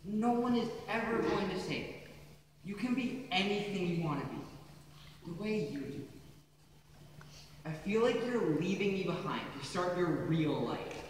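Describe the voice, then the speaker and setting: A man's voice: high-pitched, lively intonation, a little slow, long pauses. A young man acting a wistful, earnest younger brother in a school stage play, saying goodbye to his sister. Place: school theatre, stage performance. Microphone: distant audience camera. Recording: echoey hall from far off, faint noise.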